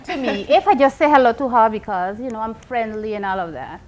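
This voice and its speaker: Animated woman leading a hot topic. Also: fairly high pitch, fairly quick, rough recording. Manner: animated, asks the panel questions. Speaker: topic presenter on a talk panel. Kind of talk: casual panel chat.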